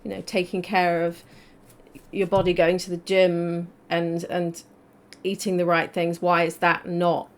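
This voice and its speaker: A woman's voice: lowish voice, monotone, measured pace. A woman hosting a live interview over a video call, friendly and chatty, with many "like" fillers. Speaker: host. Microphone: video call mic.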